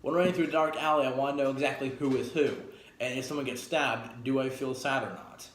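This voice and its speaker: A man's voice: mid-range voice, fairly even intonation, brisk. A man giving a casual, opinionated spoken review, rambling and emphatic, often correcting himself as he goes. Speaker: video game movie reviewer. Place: echoey spot up by a street. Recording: echoey, noisy, near street traffic. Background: traffic and engine noise.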